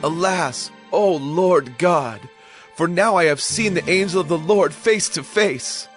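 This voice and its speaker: A man's voice: fairly high pitch, takes it slowly, fairly bright tone, faint music behind. A man playing a humble, doubting, fearful young Israelite in a dramatized scripture reading, pleading and earnest. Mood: fearful awe.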